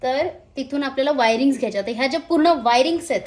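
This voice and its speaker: High-voiced woman giving a casual gear demo. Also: rapid-fire. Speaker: motovlogger presenting a product. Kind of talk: casual unboxing and installation demonstration.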